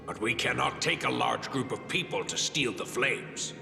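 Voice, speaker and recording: An actor playing a gruff, loyal veteran blacksmith in a fantasy game, with a gravelly voice and solemn, formal delivery. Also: male voice, mid-range voice, animated, normal speed. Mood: solemn, resolute. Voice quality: gravelly, creaky. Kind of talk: fantasy video game voice acting. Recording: echoey hall reverb, music behind.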